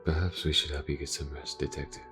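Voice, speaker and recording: A man voicing a calm, reserved police detective in an audio roleplay, speaking formally and with gentle reassurance to a wounded partner. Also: very deep voice, music in the background. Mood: gentle, reassuring. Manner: measured, formal, gently reassuring, hesitant pauses. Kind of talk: audio roleplay, character acting.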